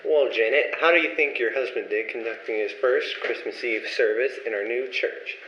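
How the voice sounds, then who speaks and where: A man playing a kind, earnest young pastor in an amateur old-time radio play, speaking gently and with measured care. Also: medium pitch, soft background music, natural voice. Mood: warm, contented. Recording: echoey, muffled, noisy amateur recording.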